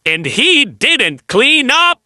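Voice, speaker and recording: A woman voicing a calm, questioning father in a children's storybook, slow and gently puzzled. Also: sits high, noisy background, heavily acted. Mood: mild disbelief. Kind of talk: children's storybook read-aloud.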